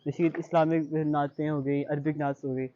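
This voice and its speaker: Young man, Pakistani accent, slightly high pitch, earnest and reflective, conversational, English phrases mixed in, dull lo-fi recording. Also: little pitch movement, normal speed, mellow. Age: young adult. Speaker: interview guest, high-achieving student. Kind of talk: conversational interview answers.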